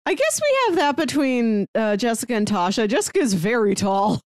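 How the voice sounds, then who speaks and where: A woman's voice: on the high side, big pitch swings, moderate pace, darkish tone, no room sound, studio quality. A woman co-hosting a podcast in a casual, conversational film review chat. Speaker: podcast co-host.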